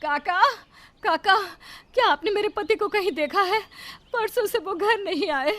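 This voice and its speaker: A woman's voice: very high-pitched, measured pace, fairly bright tone. A woman voicing an anxious, devoted village wife in a cartoon story, with a pleading, melodramatic delivery.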